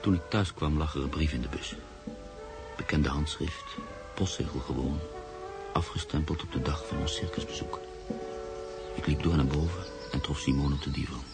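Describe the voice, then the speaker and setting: An actor playing a brooding bachelor narrator in an intimate radio drama, halting and confiding, often dropping to a murmur. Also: male voice, rumbling low, over loud music. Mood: reflective, troubled. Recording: noisy radio play recording.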